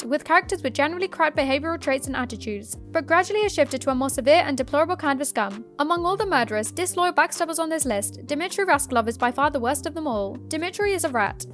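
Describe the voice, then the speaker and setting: A woman's voice: high voice, a bit bright. A woman reading scripted narration for a countdown video, as the narrator.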